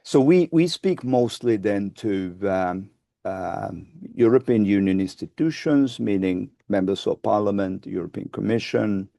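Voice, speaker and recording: A Finnish man in his sixties with a Finnish accent, answering interview questions in a measured, hesitant way, seated in a studio on a lapel mic. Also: on the low side, lively intonation, creaky, mellow, no room sound. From Finland. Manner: measured, hesitant restarts, gestures with both hands. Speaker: guest, advocacy group founder. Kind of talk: webinar interview. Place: studio with dark backdrop, seated.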